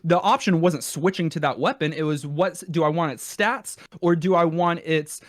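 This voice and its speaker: A man co-hosting a casual gaming podcast, explaining his points in a halting, rambling way with self-corrections. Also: on the high side, no echo, clean studio sound. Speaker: podcast co-host. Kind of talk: casual retro gaming discussion.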